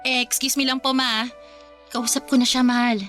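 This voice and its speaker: A woman's voice: sits high, little pitch movement, normal speed, sharp tone, soft background music. A woman playing a supportive, practical young wife with a Filipino accent in a radio drama, speaking in a reassuring, coaxing way. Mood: respectful, pleading.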